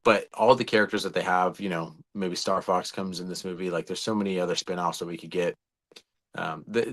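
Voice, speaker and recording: A man's voice: sits low, a bit bright. A man, perhaps in his thirties or older, co-hosting a casual movie discussion with an enthusiastic, rambling fan's delivery. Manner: enthusiastic, rambling fan talk. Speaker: podcast co-host.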